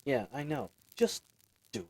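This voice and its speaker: A man's voice: unhurried, a little mellow, no room sound, lightly acted. A man playing a friendly, roguish bandit leader in a fantasy audio roleplay, talking in a casual, teasing, chatty way. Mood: firm, commanding. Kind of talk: fantasy audio roleplay acting, speaking intimately to listener.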